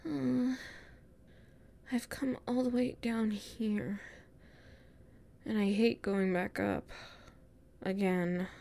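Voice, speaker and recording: A woman acting a weary, anxious human exploring a castle in an audio roleplay, breathy and hesitant, muttering to herself. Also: mid-range voice, fairly even intonation, extremely slow, long pauses, mellow. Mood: weary, musing.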